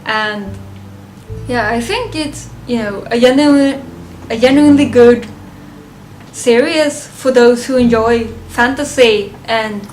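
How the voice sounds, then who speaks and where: A woman with a fairly high voice talks slowly through a casual fan review. She is unscripted and halting, working out her thoughts aloud and often chuckling, with some music behind her. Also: a little mellow, poor audio. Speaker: reviewer presenting a ranked list.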